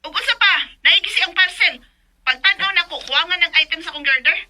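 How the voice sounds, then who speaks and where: A woman's voice: very high voice, fast talker, over music. An actress with a Cebuano Filipino accent playing an irate young female customer in a radio drama, scolding and insistent. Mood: indignant. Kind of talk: Bisaya radio drama acting.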